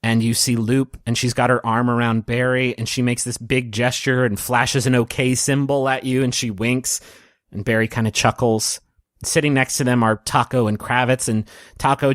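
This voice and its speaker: A man narrating a tabletop roleplaying story as game master, in a gentle, halting, descriptive way. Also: mid-range voice, dry recording, clean studio sound.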